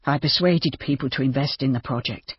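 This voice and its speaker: A narrator plays a male doctor in an audiobook, a proud gentleman with a bitter, desperate manner. The voice has a husky grain. The recording is dry and slightly muffled. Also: medium pitch, fairly quick, no room sound, natural voice. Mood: despairing. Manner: cross, self-important, despairing. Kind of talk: audiobook character dialogue.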